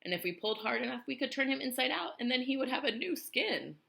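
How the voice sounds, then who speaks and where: A woman's voice: high-pitched, very animated, fast talker, creaky, bright, forceful tone, voice pushed up, exaggerated voice. A woman voicing a gloomy, pessimistic male earthworm in a storybook, in a doleful manner. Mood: scheming.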